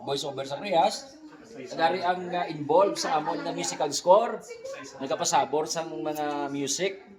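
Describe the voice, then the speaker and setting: A man with a Western Visayan Filipino accent hosts a casual vlog in a jokey, conversational way. His voice sits a little high and he often tags questions onto his phrases. The room is very echoey, with people chattering behind him. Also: a little slow, a crowd in the background. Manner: jokey, frequent tag questions. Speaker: vlog host, radio drama director. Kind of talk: casual vlog chat introducing colleagues. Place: indoor radio recording room.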